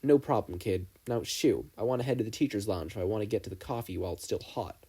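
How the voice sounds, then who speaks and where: A man voicing a stern but proud teacher in a fan fiction story, lecturing in a measured, stoic way. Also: lowish voice, dark tone, husky. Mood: quietly proud.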